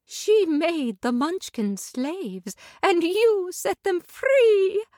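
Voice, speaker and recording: A woman voicing a gentle, graceful good witch who speaks tenderly and calmly in a children's radio story. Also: very high-pitched, drawn-out words, no room sound, clean studio sound, pitched above the performer's own voice, exaggerated voice. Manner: tender, calm and lilting.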